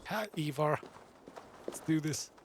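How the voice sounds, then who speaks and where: Casual male gamer commentator, a touch high in pitch, faint noise behind. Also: slow.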